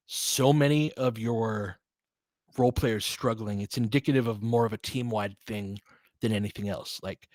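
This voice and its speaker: A man's voice: medium pitch, no echo. A man doing casual basketball play-by-play, mixing calls of the action with opinionated, conversational analysis and coaching the players aloud. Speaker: fan play-by-play commentator.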